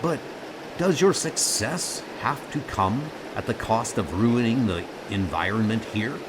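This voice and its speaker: A man playing a measured, earnest, loyal starship captain in an audio comic dub. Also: fairly low pitch, animated, background noise. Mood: reproachful. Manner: deliberate, earnest.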